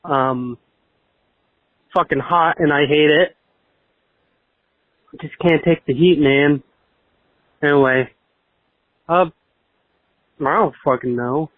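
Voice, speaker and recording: A man leaving a casual, low-key voicemail from his car in a halting, slangy way. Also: no room sound. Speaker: voicemail caller. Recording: dry, tinny phone voicemail.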